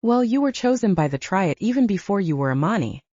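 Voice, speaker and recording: A woman voicing a guarded, knowing young female vampire in an audiobook, soft-spoken and explanatory. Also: medium pitch, sing-song, brisk, no room sound, dull audio. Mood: earnest, explaining. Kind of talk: audiobook character dialogue.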